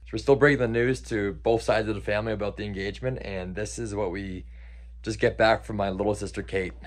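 A man's voice: on the low side, fairly even intonation, quick pace. A man in his thirties talking casually as a travel vlogger, recorded on a handheld camera. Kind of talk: casual travel vlog talk. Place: outdoors on trails and inside a log cabin. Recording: noisy handheld camera, ambient sound.

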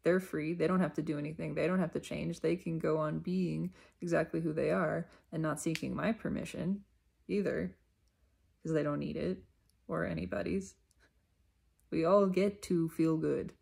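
A woman giving a reflective self-help monologue, unhurried and emphatic, often repeating her phrases. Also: low voice, fairly even intonation, gravelly, darkish tone, husky.

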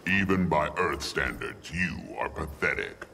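An actor playing an imperious alien god-tyrant in a video game, with gravelly, pompous, commanding menace. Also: male voice, lively intonation, measured pace, heavy background noise, heavily acted. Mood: cold menace. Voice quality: gravelly, very deep.

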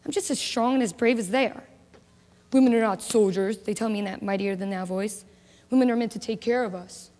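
Headstrong young woman, acted by a woman, high voice, insistent, big hall echo. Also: darkish tone, close to an everyday voice. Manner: insistent, defiant. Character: determined young woman who wants to fight. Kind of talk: amateur drama acting. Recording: echoey hall.

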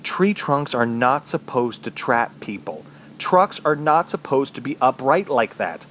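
An actor playing an injured, thirsty trapped man, narrating his ordeal in a brooding, halting, dramatic way for a horror radio play. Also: mid-range voice, animated, fairly bright tone, a little hiss or hum. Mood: weary, bitter.

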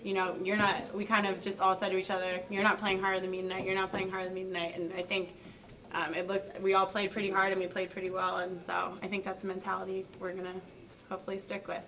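Young woman college athlete at a table mic, bright voice, easygoing hedging answers, a little distant. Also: mid-range voice, fast talker. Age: young adult. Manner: relaxed, hedging with "you know" and "I mean". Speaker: college basketball player. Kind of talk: post-game press answers. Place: press conference room.

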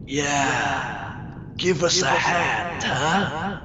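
Slow-talking laid-back bandmate played by a man. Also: a little high, noisy background. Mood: casual. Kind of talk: audio drama acting. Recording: dull lo-fi sound, music behind.

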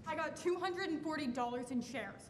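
A woman's voice: moderate pace, close to an everyday voice. A young woman acting a stubborn, agitated, demanding townsman in a radio play. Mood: angry demanding. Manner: insistent, agitated. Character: stubborn, demanding small-town male depositor. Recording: echoey hall, crowd and rumble.

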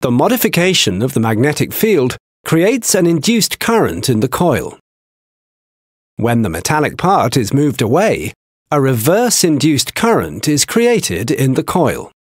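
A man with a slightly husky voice reads a technical training script in a measured, explanatory way. His pitch moves a lot, rising and falling from phrase to phrase. The recording is dry and close, with no room sound. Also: big pitch swings, dry recording. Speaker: narrator of a training video.

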